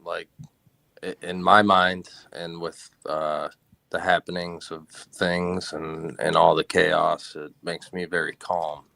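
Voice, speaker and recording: A man calling in to a casual live stream chat, talking in a slow, laid-back monotone. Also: deep voice, vocal fry, no room sound. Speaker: guest caller.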